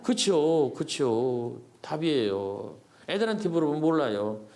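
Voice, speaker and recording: A man's voice: on the high side, expressive, a little slow, vocal fry, darkish tone. An older Korean man, a poet, giving a folksy public lecture to older adults, asking the audience questions and answering them himself. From South Korea. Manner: folksy, repeats words for emphasis.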